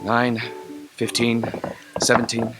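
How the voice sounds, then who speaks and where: A man's voice: mid-range voice, monotone, slow pace, over music, lightly acted, low-quality recording. A man playing a timid, meek clerk in a radio drama, hesitant and stammering.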